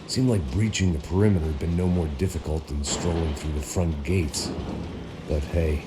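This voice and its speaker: An actor playing a weary, cynical middle-aged American ex-cop bodyguard in a noir video game, deadpan and sardonic. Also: male voice, a bass voice, normal speed, heavy background noise. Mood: wry, brooding. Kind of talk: hard-boiled noir game narration and dialogue.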